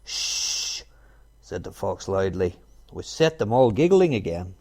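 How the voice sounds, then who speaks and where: Very animated man reading a children's story. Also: deep voice, normal speed. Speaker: storybook reader. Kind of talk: expressive read-aloud narration of a children's story.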